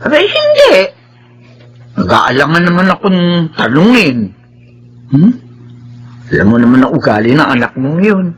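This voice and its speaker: A man's voice: a little high, wildly swooping pitch, moderate pace, long pauses, breathy edge, full character voice. A man playing a patient, protective village parent in a Filipino radio drama, explaining and defending. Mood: uneasy. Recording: noisy radio drama recording.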